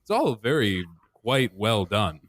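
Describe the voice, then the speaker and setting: Pompous lecturing man, lively pitch. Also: takes it slowly, dry recording, clean studio sound. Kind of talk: tabletop roleplay in character.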